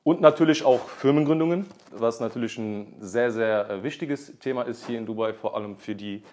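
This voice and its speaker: A man's voice: medium pitch, a little fast, darkish tone, slight background noise. A man in his thirties from Germany, a podcast guest talking casually with many fillers and restarts. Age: 30s. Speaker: podcast guest, real estate agent.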